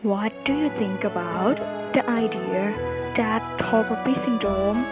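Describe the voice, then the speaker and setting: A woman's voice: mid-range voice, a little slow. A woman narrating a student video, reading plainly from a script. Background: loud background music.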